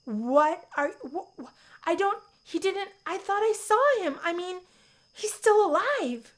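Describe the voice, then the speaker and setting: A woman's voice: very high-pitched, expressive, slow, voice pushed up, heavily acted. A woman voicing a shy, anxious young college woman in a visual novel, easily flustered. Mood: shocked, flustered. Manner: flustered, stammering when upset.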